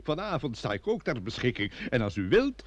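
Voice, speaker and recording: An actor playing a pious, genial village priest with solemn, unctuous phrasing in a vintage radio drama. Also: male voice, mid-range voice, very animated, a little fast, no room sound, dull audio, natural voice. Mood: convivial, persuasive.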